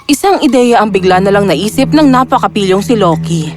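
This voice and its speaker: A woman's voice: medium pitch, lively intonation, brisk. A woman narrator reading a scripted fairy tale as a storyteller. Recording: loud background music.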